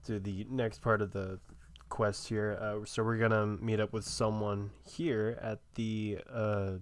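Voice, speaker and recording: A man giving casual, low-key let's play commentary, talking in a hesitant way. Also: flat delivery, a little slow, no echo. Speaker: gameplay streamer. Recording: faint noise and clicks behind.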